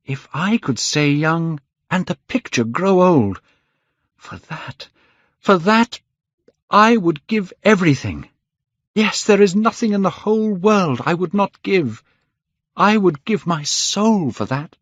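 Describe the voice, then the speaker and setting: A man acts out an impassioned young man from a storybook, pitched high and swooping with feeling. He sounds aggrieved and fervent, every phrase given slow dramatic weight. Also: lively intonation, no room sound, dull audio. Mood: anguished, fervent.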